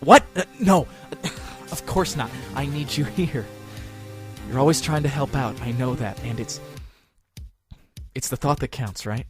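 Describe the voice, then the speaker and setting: An actor playing an anxious, earnest young male defense lawyer with an expressive voice in a fan audio drama. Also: mid-range voice, unhurried, soft background music, lightly acted. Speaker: defense attorney.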